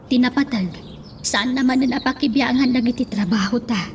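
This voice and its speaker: A woman's voice: on the high side, a little fast, a little hiss or hum, lightly acted. An actress with a Filipino accent playing a chatty, long-suffering household woman in a radio drama.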